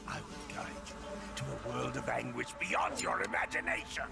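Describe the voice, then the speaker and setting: Gravelly, bombastic supervillain soldier. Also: male voice, mid-range voice, sing-song. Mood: menacing, frenzied. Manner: bombastic, drawn-out shouting. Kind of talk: video game cutscene acting. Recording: loud music over voice.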